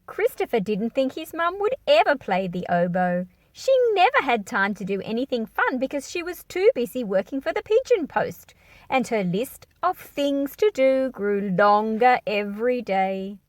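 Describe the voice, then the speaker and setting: A woman reading a picture book aloud to children, expressive and engaging the listener as she goes. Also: on the high side, normal speed, dry recording. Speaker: children's story reader.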